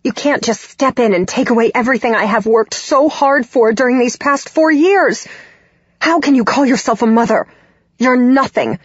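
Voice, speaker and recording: A defiant, emotional young woman from an audiobook drama, acted out by a female narrator. Her voice is husky, recorded very dry and slightly muffled. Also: mid-range voice, animated, unhurried, no echo. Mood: furious. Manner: emotional, indignant outbursts. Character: upset, defiant young woman standing up to parents.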